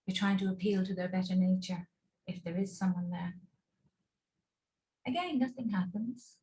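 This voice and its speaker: A woman leading a guided relaxation and visualization over a video call, speaking in slow, soothing, paused instructions. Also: lowish voice, mellow.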